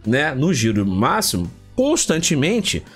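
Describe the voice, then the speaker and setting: A man from Rio with a slightly high voice, stuffed up by a heavy cold. He talks like a tech channel host, colloquial and emphatic, repeating phrases to drive them home. Music plays softly behind him. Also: wildly swooping pitch, a little slow, husky. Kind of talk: casual tech vlog commentary.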